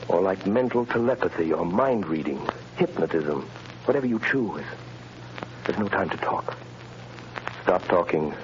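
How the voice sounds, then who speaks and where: A man playing a mysterious invisible crime fighter, speaking in measured, authoritative commands in 1930s radio drama style. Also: sits low, lively intonation, lo-fi sound. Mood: commanding. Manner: measured, hypnotic, authoritative instructions. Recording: scratchy, hissy 1930s radio broadcast.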